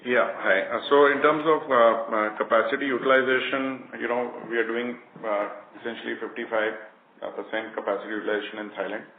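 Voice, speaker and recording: A man, speaking in a measured, hedging, businesslike way as an executive answering questions on an earnings call. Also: mid-range voice, steady tone. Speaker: company executive answering analysts. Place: conference call. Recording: phone-like line, roomy echo, faint noise.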